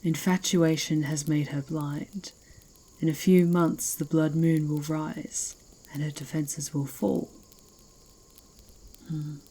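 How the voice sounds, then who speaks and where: A proud she-wolf ruling an ancient pack, acted by a woman in an ASMR fantasy drama. Her voice sits very low for a woman and has a husky rasp. She speaks with haughty, menacing deliberation. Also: dark tone, poor audio. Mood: brooding. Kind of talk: ASMR fantasy roleplay acting.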